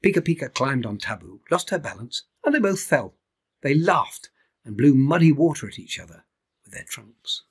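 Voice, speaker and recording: A man in his seventies reading a children's storybook aloud in an expressive, theatrical way. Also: a little high, normal speed, breathy edge. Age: 70s. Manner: theatrical, animated delivery with hushed asides. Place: indoors, small timber-beamed room at home.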